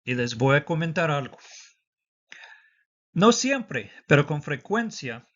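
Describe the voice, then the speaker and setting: Guy teaching citizenship test prep, slow and patient, mixes spanish and english, kinda dull audio. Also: on the high side, big pitch swings, fairly bright tone.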